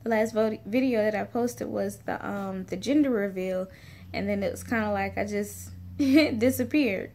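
A woman's voice: mid-range voice, normal speed, a little mellow, a little hiss or hum. A woman, chatting casually and informally to camera like a family vlogger. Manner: informal, folksy, conversational. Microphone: phone camera at arm's length.

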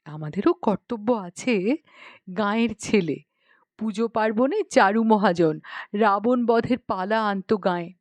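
A woman's voice: medium pitch, a little slow, a little mellow, dry recording. A woman narrating a story expressively, with a dramatic storytelling manner. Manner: dramatic storytelling with acted dialogue. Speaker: audiobook narrator.

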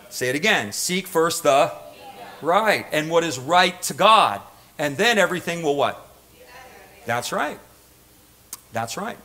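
Male preacher, middle-aged. High-set, sharp, driving delivery; impassioned, repeats for emphasis, throws questions at the congregation. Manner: emphatic, repetitive, prods listeners with rhetorical questions. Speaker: pastor. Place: church stage.

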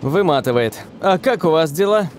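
A man's voice: on the high side, expressive, normal speed, background noise, natural voice. A man playing an earnest, determined young footballer hero in a cartoon dub. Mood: weary, earnest.

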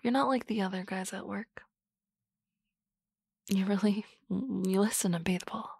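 A woman's voice: mid-range voice, measured pace, gravelly, breathy edge, no echo. Woman doing a teasing whispery office boss. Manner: teasing, playful, soft whispers. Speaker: ASMR roleplay performer. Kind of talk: ASMR roleplay, soft close whispering.

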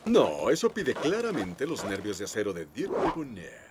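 An actor playing an excitable, boastful male hippo in a cartoon, theatrical. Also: on the low side, moderate pace. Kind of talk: Spanish-dubbed cartoon acting. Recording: loud music behind.

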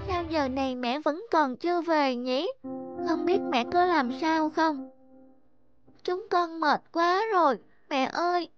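A hungry little stork chick in a cartoon. The voice is very high and whining, with drawn-out pleas. Music runs behind it. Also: female voice, muffled recording. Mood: worried, weak. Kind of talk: animated moral fable voice acting.

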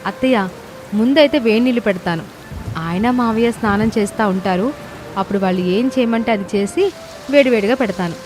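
A woman's voice: mid-range voice, a little fast, a little mellow, slight background noise, close to an everyday voice. A woman playing a dutiful, easily hurt young daughter-in-law in a cartoon moral story, plaintive and respectful.

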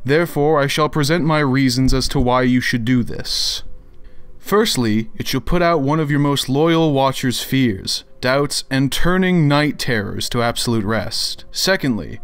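A man's voice: mid-range voice, expressive, unhurried, clean tone, no echo. A man doing a dramatic reading as a pompous, indignant internet crank with an overly formal, theatrical delivery. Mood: haughty, menacing. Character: pompous, indignant male internet crank issuing grandiose demands. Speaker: narrator of read-out messages. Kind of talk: dramatic reading of written messages.

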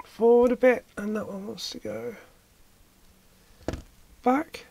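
Slow-paced hobbyist talking through a repair. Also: mid-range voice, steady tone, gravelly, warm tone. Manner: thinking aloud while working. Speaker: hobbyist repairer, video presenter. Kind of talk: casual hands-on repair commentary. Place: indoor workbench.

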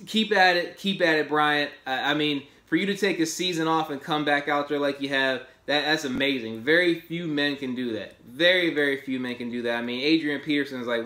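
A man's voice: medium pitch, echoey room. A man in his thirties talking casually and enthusiastically as a fan reviewing a game, filmed at his desk in a small home office. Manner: enthusiastic, animated, gestures while talking. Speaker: sports podcast host.

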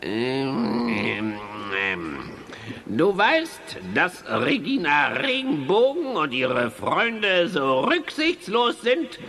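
A spiteful villain dwarf from a children's radio play, vain and grumpy. The voice is high and gravelly and swoops hugely as he exclaims and scolds in a raspy, theatrical way. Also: wildly swooping pitch, drawn-out words, bright, forceful tone, heavily acted. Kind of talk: children's audio drama acting.

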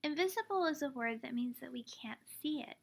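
A woman in her thirties reading a children's picture book aloud like a teacher, expressively, pausing to explain things simply for young children. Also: high voice, expressive, creaky, slightly rough. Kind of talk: children's storybook read-aloud.

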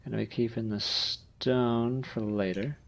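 A man giving casual gameplay commentary as host, with a halting, hesitant delivery. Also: medium pitch, steady tone, creaky, slight background noise. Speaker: gaming video host.

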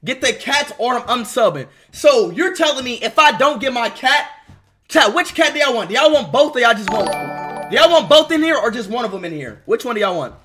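Hyper young dude on a headset mic, really high bright voice, yells at chat a lot. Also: male voice, a little fast, soft background music. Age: young adult. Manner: loud, excitable, yells often. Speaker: livestreamer. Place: bedroom.

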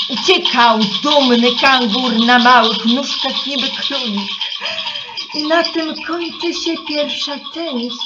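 A woman narrating a children's story in an expressive, dramatic and rhythmic way. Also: medium pitch, heavy background noise. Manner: dramatic, rhythmic delivery with refrains. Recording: slightly muffled, with music behind. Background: music.

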